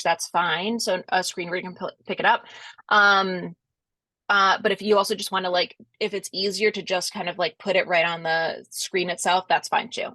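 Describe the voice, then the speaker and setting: A woman with a Rhode Island accent hosts a webinar over a video call. Her voice sits at an ordinary mid pitch and sounds dry and close. She talks in an easygoing, reassuring way, full of little fillers. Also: a bit bright, no echo, rough recording. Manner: friendly, chatty, reassuring, many fillers. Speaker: webinar host, conference organizer. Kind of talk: casual chat, answering questions.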